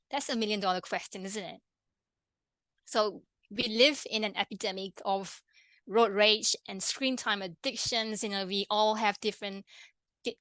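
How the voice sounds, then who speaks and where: A woman with a Malaysian accent, a pharmacist and health coach, presenting an online workshop in a friendly, quick way with many fillers and restarts. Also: medium pitch, a bit bright, dry recording. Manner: fast-talking, friendly, with frequent fillers and restarts.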